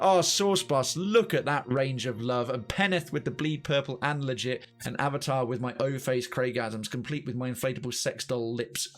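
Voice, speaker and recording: A man's voice: medium pitch, fairly bright tone. A man hosting a game livestream, chatting casually with his viewers and repeating phrases for emphasis.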